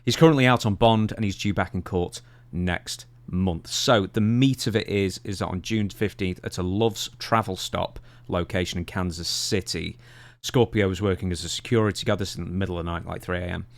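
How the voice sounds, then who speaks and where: A male podcast co-host talks in a fairly low voice with very little room sound. He lays out news items and questions in a casual, conversational way. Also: fairly quick, creaky, dry recording.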